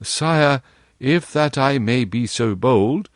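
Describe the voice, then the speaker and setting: A man's voice: mid-range voice, lively intonation, slow, dry recording, studio quality. A man voicing a deferential, anxious nobleman in a storybook, with formal, pleading archaic speech. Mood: cautious, respectful. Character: deferential nobleman courtier addressing his king, anxious and humble.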